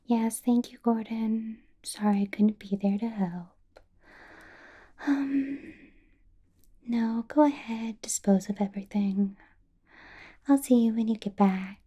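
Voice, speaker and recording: A woman playing a doting yet menacing vampire boss in a breathy, whispered ASMR roleplay. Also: on the high side, dark tone, muffled recording. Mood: businesslike. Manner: slow, breathy whisper with silky, drawn-out phrasing.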